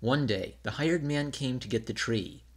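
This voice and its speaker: A man narrates a children's tale from a script. His voice sits at an average male pitch, and he reads as a storybook narrator. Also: moderate pace, slightly rough.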